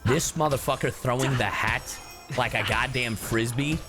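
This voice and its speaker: A man giving casual game reaction commentary, brash and expressive, with lots of swearing and slang. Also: mid-range voice, normal speed. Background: game music.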